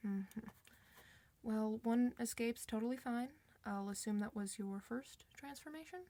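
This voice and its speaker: Creaky-voiced reassuring woman acting as a werewolf doctor. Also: on the high side, dark tone, dry recording. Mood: calm, reassuring. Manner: reassuring, informal, hesitant pauses. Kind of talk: ASMR roleplay, one side of a conversation.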